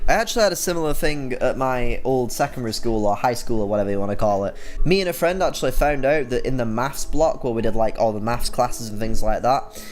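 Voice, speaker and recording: A young British man chats his way through a game in a casual, rambling style. His pitch sits in the middle of the male range and he swears freely. Game music plays behind him. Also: animated, fast talker. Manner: informal, swearing, rambling asides. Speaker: video game let's player. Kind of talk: casual gaming commentary.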